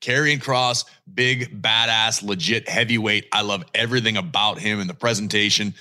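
Bright-voiced energetic male podcast host. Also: on the low side, normal speed. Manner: energetic, emphatic, swears casually. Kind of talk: casual wrestling chat.

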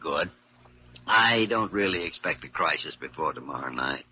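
A man playing a grave, concerned hospital doctor in a classic radio drama, speaking in a weary, sober, halting way. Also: very deep voice, animated, bright, forceful tone, breathy edge, full character voice. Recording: dull, muffled old radio recording.

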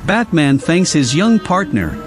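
Male narrator, higher-pitched and animated, dramatic storybook delivery. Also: a little slow. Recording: loud music under the voice. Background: loud music.

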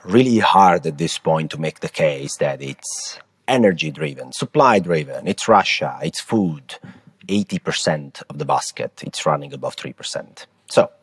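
A man with an Italian accent co-hosting a podcast, talking through economic data in a lively, emphatic way. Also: a bass voice, normal speed, breathy edge. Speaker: podcast co-host. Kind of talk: casual market discussion.

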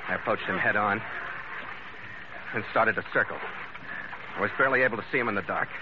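A man's voice: fairly low pitch, fairly quick, natural voice. An actor playing a tough, hard-boiled American sea captain who narrates his own radio adventure in clipped, wry words. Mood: terse. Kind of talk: 1940s-50s radio adventure acting. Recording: muffled, very noisy vintage radio recording.

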